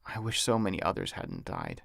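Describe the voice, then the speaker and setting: A man's voice: sits low, wildly swooping pitch, a little fast, vocal fry, a bit bright, no echo, studio quality, pitched below the performer's own voice, heavily acted. A man reading an audiobook as an anxious, apologetic husband who speaks in a hesitant, submissive way. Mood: apologetic, somber.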